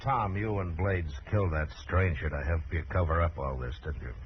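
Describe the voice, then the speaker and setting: An American actor playing a calm, firm Old West marshal in a radio drama, measured and grave. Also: male voice, low-pitched, close to an everyday voice. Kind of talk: 1950s radio western acting. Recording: muffled, faintly noisy old radio broadcast.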